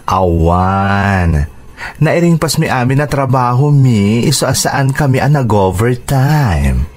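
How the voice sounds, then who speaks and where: Man acting slow, expressive Ilocano uncle. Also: medium pitch. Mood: warm, reassuring. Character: caring, hardworking uncle supporting his niece's schooling. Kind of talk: Ilocano radio drama acting.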